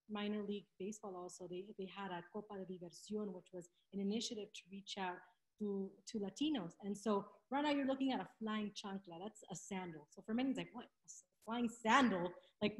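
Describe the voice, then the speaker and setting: A woman in her thirties presenting on a video call from a room at home, chatty, with many fillers and restarts. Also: mid-range voice. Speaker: presenter in a webinar. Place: home room with window blinds. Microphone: laptop webcam on a video call. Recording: roomy echo.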